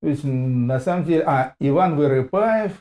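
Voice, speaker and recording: A man speaking in a casual discussion, halting and hesitant as he gathers his words. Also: a little high, lively intonation, a little mellow.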